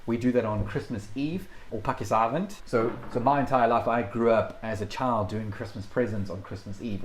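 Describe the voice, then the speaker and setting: Jokey man cooking at home. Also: fairly low pitch. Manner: chatty, jokey, self-deprecating. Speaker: cooking show host. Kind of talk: casual cooking demonstration.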